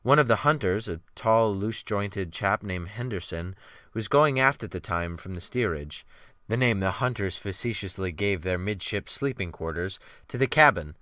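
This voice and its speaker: Expressive man reading a dramatic audiobook. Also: on the low side, normal speed, no echo. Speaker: audiobook narrator and performer. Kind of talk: dramatic literary narration. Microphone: close USB desktop microphone.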